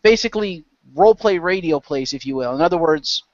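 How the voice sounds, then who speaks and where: A man's voice: on the high side, lively intonation, brisk, dry recording. A man hosting and narrating a role-play show as game master in a loose, informal way. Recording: dull lo-fi close microphone.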